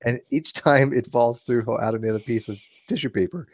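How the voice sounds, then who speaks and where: A man's voice: mid-range voice, fairly quick, dry recording, poor audio. Male host, laughing storyteller, dry close sound. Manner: laughs often, animated storytelling. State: tired. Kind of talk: casual late-night group chat.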